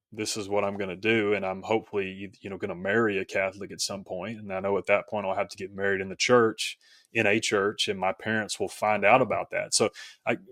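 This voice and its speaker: Low-voiced man telling his story. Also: a bit bright, no echo, studio quality. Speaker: podcast guest. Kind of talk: casual personal storytelling.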